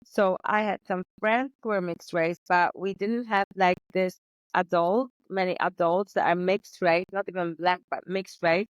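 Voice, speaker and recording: A young woman, speaking in a lowish voice as a guest on a podcast. She talks earnestly and fills her sentences with lots of "like" and "um". The sound is dry and a little muffled. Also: fairly even intonation, a little slow, no room sound. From Poland. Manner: earnest, with frequent fillers. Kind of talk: conversational interview.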